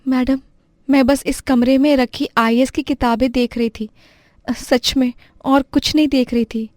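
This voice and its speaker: A woman's voice: sits high, fairly even intonation, unhurried, a little mellow, no echo, clean studio sound. A woman voicing a poor determined girl. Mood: pleading. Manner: earnest and pleading.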